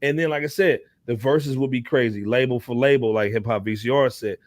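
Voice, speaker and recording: A man's voice: mid-range voice, brisk, no room sound. An American man hosting a casual hip hop panel discussion, talking emphatically in slang and repeating phrases. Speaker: talk show panel host.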